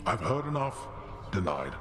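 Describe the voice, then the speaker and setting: A man's voice: fairly low pitch, big pitch swings, unhurried, roomy sound, music in the background. A man voicing a stern, contemptuous god-king father in a video game, speaking in an imperious, dismissive, commanding way. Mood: bored, dismissive.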